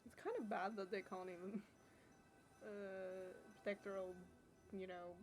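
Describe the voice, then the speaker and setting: A woman streaming a game, with a medium-pitched voice. She reads lines aloud and comments casually, with game music clearly playing behind her. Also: steady tone, unhurried. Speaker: gaming streamer.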